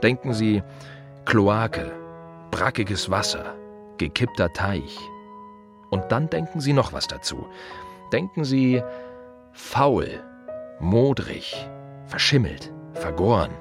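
An actor playing a plain-spoken, wry gravedigger in a radio play monologue, confiding in short halting phrases with dry irony. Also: male voice, lowish voice, big pitch swings, over music, heavily acted. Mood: grim, dry.

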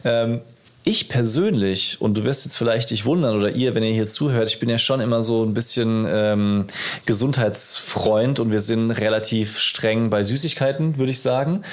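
A man's voice: medium pitch, moderate pace. A man in his thirties talking casually as a podcast host, explaining his thoughts in a reflective, conversational way. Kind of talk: casual chat between two dads.

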